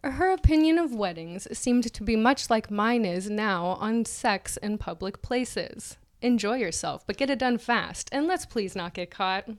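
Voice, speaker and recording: A woman's voice: fairly high pitch, expressive, a little slow, few pauses. A woman co-hosting a podcast, reading an essay aloud in a theatrical way and breaking off into casual banter. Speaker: podcast co-host.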